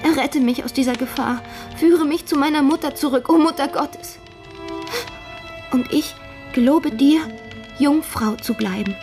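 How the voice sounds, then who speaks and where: A woman's voice: sits high, a little slow, darkish tone, faint music behind. An actress in a radio play as a pious, frightened young woman held captive, imploring and earnest. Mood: devout, desperate prayer. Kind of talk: dramatic radio play acting.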